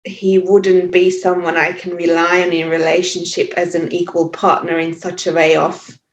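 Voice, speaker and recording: A woman from Hungary in her forties, speaking English with a Hungarian accent, reflecting thoughtfully with pauses and fillers as a podcast guest. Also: lowish voice, little pitch movement, a little hiss or hum. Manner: thoughtful, hesitant, many fillers and restarts. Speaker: podcast guest, yoga teacher. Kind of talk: reflective interview talk. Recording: echoey, muffled remote audio.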